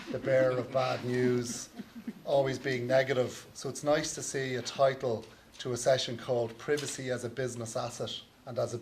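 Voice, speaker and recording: A man's voice: mid-range voice, a little hiss or hum. A man with an Irish accent introducing a conference panel in a chatty, lightly joking way, with frequent ums. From Ireland. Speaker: session moderator. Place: conference hall.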